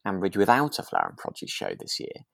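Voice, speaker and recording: Man, low voice, tentative conversational co-host thinking aloud, dry recording. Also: huge pitch swings, fast, creaky, fairly bright tone, dry recording. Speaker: podcast co-host. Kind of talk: relaxed chat discussing a radio drama.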